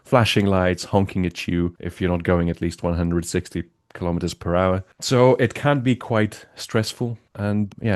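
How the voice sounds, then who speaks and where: Brief: very low-voiced man from Slovenia, chatty interviewee, dry close sound. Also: a little mellow, no room sound. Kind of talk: casual street interview.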